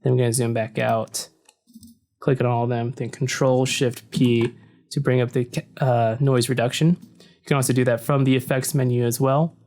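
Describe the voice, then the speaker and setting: Man, deep voice, casual tutorial voice-over, relaxed explainer, desk mic in an untreated home room. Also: normal speed. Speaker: tutorial narrator. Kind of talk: casual screen-recording walkthrough. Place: home room without soundproofing. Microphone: desk mic facing the computer screen.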